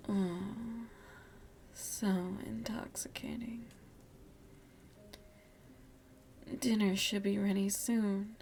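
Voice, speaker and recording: A woman playing an elegant, seductive, motherly vampire lady of the manor in a softly half-whispered ASMR roleplay, genteel and coaxing. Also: mid-range voice, creaky, a little hiss or hum. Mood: teasing, seductive. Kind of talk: ASMR roleplay, soft breathy speech.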